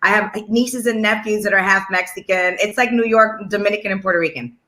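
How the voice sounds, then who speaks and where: A woman from Chicago chatting as a guest, lively and quick to laugh. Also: mid-range voice. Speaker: guest actress. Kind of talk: casual chat.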